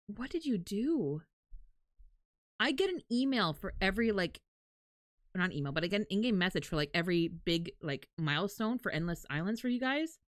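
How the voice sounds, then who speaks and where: A woman's voice: medium pitch, no echo, clean studio sound. A woman chatting casually as a livestreamer, candid and freely swearing, telling things in a dramatic, self-deprecating way. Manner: animated, dramatic storytelling.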